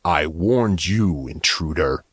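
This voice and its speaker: A man voicing a wild, ferocious villain in an audiobook, with a cold, low, mocking and sadistic menace. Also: expressive, slow pace, fairly bright tone, breathy edge, no room sound, studio quality, exaggerated voice. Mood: angry menace. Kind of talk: audiobook character acting.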